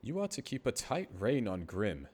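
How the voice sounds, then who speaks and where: A man's voice: on the low side, very animated, normal speed, a little mellow. A man playing a pompous, self-congratulating magic school headmaster, formal and melodramatic, in an anime fandub. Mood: self-satisfied.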